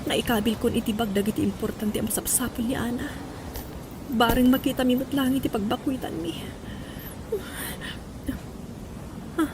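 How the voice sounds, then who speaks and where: A woman's voice: sits high, background noise, lightly acted. A woman with an Ilocano accent playing a harsh, emotional mother in a radio drama. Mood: subdued, anxious. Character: harsh, scolding mother of a schoolgirl, later frantic with worry. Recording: music under the voice.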